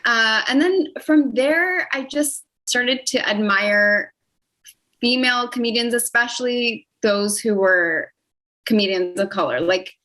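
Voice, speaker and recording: A woman's voice: on the high side, measured pace, sharp tone, low-quality recording. A young adult woman talking as a guest on a podcast, friendly and chatty. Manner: friendly, chatty, enthusiastic about comedians. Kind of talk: casual chat.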